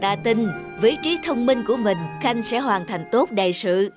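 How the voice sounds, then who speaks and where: A stately, gracious older emperor. His delivery is formal and measured, as befits a ruler. The cartoon dialogue sits over clearly heard music. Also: very high-pitched, expressive. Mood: gracious, approving. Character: stately, approving older emperor with long beard. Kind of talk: animated folk-tale dubbing.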